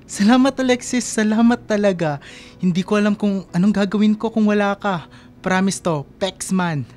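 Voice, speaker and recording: An actor playing an anxious, lovesick young man, earnest and halting, in a casual radio drama over faint music. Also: high voice, a little mellow, lightly acted. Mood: relieved, grateful. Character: lovesick, anxious young man calling his best friend from abroad. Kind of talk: radio drama acting in casual Filipino talk.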